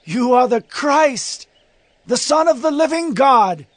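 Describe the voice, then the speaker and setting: A man's voice: very high-pitched, lively intonation, slow, no echo, full character voice. An actor playing a fervent, impulsive male disciple, exclaiming in a dramatized scripture reading. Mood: devout.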